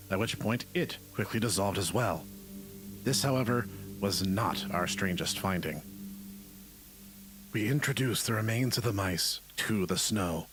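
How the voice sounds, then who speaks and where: A man playing a detached researcher, narrating grim lab findings as a formal, measured horror report. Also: on the low side, fairly bright tone. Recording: voice-over under clear background music. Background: music.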